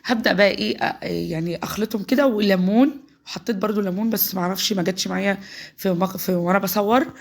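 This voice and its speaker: A woman's voice: mid-range voice, fast talker, gravelly. An Egyptian woman with a cold, chatting through a home cooking tutorial step by step. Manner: chatty, talks viewers through each step. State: voice changed by a cold. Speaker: home cook. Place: home kitchen.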